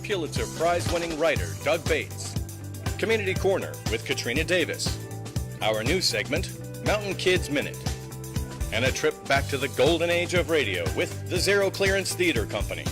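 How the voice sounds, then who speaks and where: Man, show announcer, scripted introduction, slightly high voice, music underneath. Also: sing-song, normal speed.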